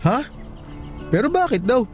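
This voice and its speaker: A man's voice: very high voice, sing-song, a little slow, soft background music, exaggerated voice. A man playing an easygoing young best friend in a radio drama. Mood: concerned.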